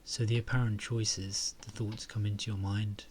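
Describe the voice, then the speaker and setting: A man leading a guided meditation and reflection in a soft, calm way, with phrases trailing into breathy murmurs. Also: on the low side, moderate pace, a little hiss or hum.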